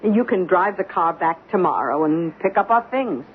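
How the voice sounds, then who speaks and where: A woman's voice: lowish voice, lively intonation, moderate pace, close to an everyday voice. A tinny, slightly noisy old radio recording carries a woman acting a working-class wife. She speaks earnestly and a little hesitantly, coaxing with hope. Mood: hopeful. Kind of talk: 1970s radio drama acting. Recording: phone-like, noisy 1970s radio broadcast recording.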